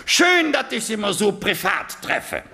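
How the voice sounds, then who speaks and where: A fawning, excitable old gentleman, put on by a man telling the story. The voice jumps up high and a little louder, effusive and overly polite. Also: a little slow, gravelly, heavily acted. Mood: gushing. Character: friendly, gushing older male fan.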